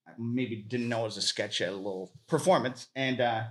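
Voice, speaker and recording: A man chatting casually as a podcast guest, in a halting, joking manner. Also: mid-range voice, a bit bright. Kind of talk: casual chat. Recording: roomy, echoey room.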